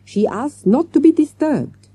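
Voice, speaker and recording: Woman as male hotel manager, anxious, furtive, storybook character, higher pitch, dry close sound. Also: animated, measured pace, dark tone, no room sound. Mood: furtive, nervous.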